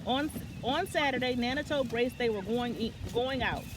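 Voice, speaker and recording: A woman's voice: fairly high pitch, moderate pace, noisy background. A woman reading a children's picture book aloud as a story time reader, now and then stumbling and correcting herself.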